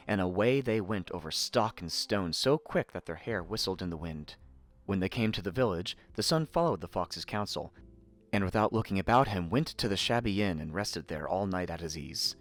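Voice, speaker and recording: A man narrating a scripted fairy tale in a theatrical, expressive manner. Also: deep voice, moderate pace. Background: faint music.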